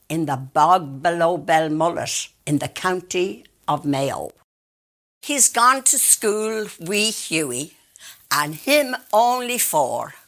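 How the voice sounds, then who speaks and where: An Irish woman recites narrative poetry in a storyteller's manner, on the lower side in pitch but swooping widely through each phrase. She takes her time, leaving deliberate pauses and leaning on key words for dramatic effect. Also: gravelly, a bit bright. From Ireland. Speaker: monologue reciter.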